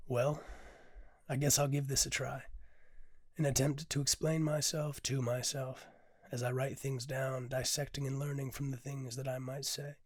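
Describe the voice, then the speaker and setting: A hoarse man with a raspy voice, a podcast host and poet who reads a poem and then talks in a candid, rambling way, swearing casually. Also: mid-range voice, level tone, normal speed, no room sound. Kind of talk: poetry reading then casual reflective talk.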